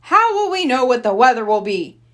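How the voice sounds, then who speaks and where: A blustery father bear from a picture book, as a woman reader puts him on. He speaks emphatically and his pitch leaps about. The delivery is expressive storybook reading. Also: fairly quick. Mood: indignant.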